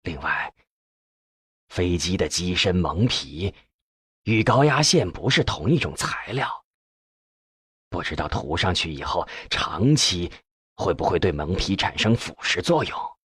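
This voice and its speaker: A man voicing an ailing elderly professor in an audiobook, with a weak, breathy, halting voice. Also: sits low, very animated, fairly bright tone, no room sound, studio quality, pitched below the performer's own voice, exaggerated voice. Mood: measured, explanatory. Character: ailing elderly male professor, frail, eager about research.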